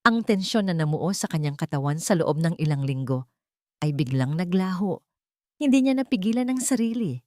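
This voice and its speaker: A woman's voice: on the low side, darkish tone, dry recording, clean studio sound. A woman narrating a scripted romantic drama as a storyteller.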